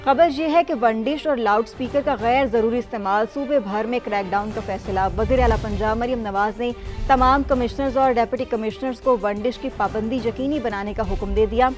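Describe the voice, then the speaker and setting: High-voiced Pakistani newswoman over music. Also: fairly quick. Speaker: news anchor. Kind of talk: scripted news bulletin reading with reporter handovers.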